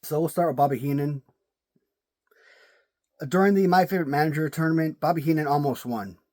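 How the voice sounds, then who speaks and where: A man's voice: fairly high pitch, brisk, a little mellow. Chatty man with a sore, cracking voice. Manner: chatty, repeats words while thinking. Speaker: toy collector reviewing action figures. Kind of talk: casual video commentary.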